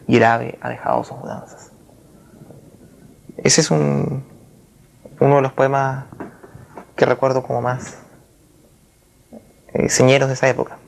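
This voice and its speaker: A man speaking as a writer in a reflective literary interview, with formal wording and a halting, searching manner. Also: medium pitch, gravelly, a little hiss or hum. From Chile.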